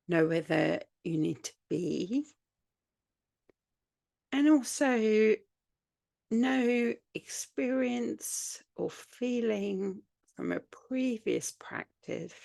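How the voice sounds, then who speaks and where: A woman with a slightly low, gravelly-edged voice leads a guided meditation. She speaks extremely slowly and soothingly, leaving long pauses between short phrases. The sound is dry and close, with very little room around it. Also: a little mellow, no echo. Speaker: yoga nidra guide. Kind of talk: guided meditation, spoken relaxation practice.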